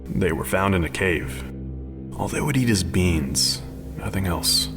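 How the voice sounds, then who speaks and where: A man's voice: low voice, expressive, unhurried, music in the background. A man playing an unflappable, bookish advisor who explains odd theories matter-of-factly in a sci-fi audio drama. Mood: earnest, storytelling.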